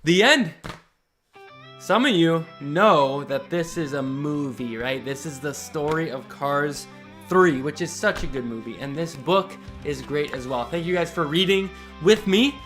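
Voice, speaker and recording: Man, children's read-aloud host, lightly high voice, very lively intonation, storytelling. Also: faint music behind. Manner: slow, simple, expressive reading for children. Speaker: host reading children's picture books aloud. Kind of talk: read-aloud storytelling with friendly asides to listeners.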